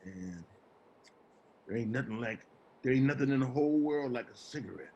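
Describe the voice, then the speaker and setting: Rambling old homeless man, wildly varied pitch acted by a man. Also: animated, a little mellow, muffled recording, close to an everyday voice. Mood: savoring, relaxed. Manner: colloquial, musing, drawn-out repetitions. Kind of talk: dramatic table reading of a play. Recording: faint room noise, video call.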